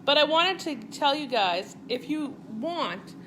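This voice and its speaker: A woman's voice: high-pitched, expressive, takes it slowly, noisy background. A middle-aged woman with a Boston accent, chatting casually on a home cooking live stream and talking viewers through a recipe. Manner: chatty and rambling, talks to viewers directly. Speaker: cooking channel host. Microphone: live-stream device mic.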